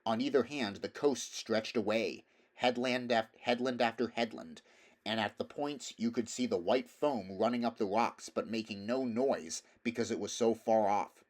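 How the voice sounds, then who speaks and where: A man with a fairly low voice narrates a storybook. He reads scripted prose as an audiobook reader would. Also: normal speed.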